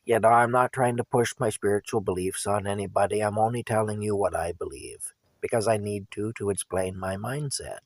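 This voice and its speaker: Hoarse, gravelly-voiced man speaking earnestly. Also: on the low side, a little fast, dry recording. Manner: earnest, plain-spoken, repeats himself for emphasis. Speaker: vlogger. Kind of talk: candid personal monologue to viewers.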